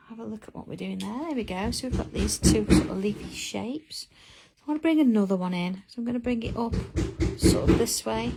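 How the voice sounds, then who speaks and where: A woman's voice: medium pitch, gravelly, heavy background noise. A middle-aged woman, hosting a craft livestream in casual chat and answering viewer comments as she works. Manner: chatty, reads out viewer comments while working.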